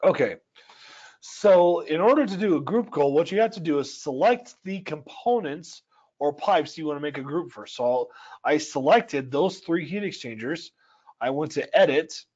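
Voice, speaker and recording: Dry-voiced man walking through software. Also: on the high side, lively intonation, a little slow, dull audio. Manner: explanatory, conversational, with frequent fillers. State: dry, scratchy voice. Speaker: software trainer. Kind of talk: screen-share software tutorial. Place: home or office at altitude.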